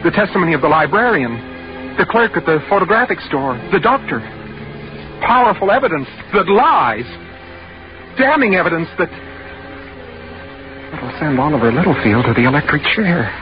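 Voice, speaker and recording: An actor plays an unravelling husband in a 1940s radio thriller. His fairly high male voice stammers, pleads and broods like a confession, over dramatic music on a dull vintage recording. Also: expressive, normal speed, long pauses, close to an everyday voice. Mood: haunted brooding. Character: desperate accused husband, unravelling, haunted, confessional narrator.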